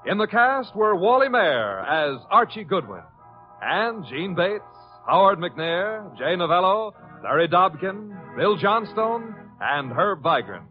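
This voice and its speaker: A man's voice: sits high, very animated, slow. A man announcing closing credits in scripted 1950s radio style. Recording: muffled old radio broadcast. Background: music.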